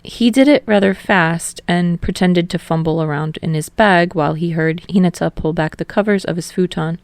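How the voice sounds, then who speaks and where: Low, creaky woman narrating fiction. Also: normal speed, no room sound. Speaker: podfic narrator.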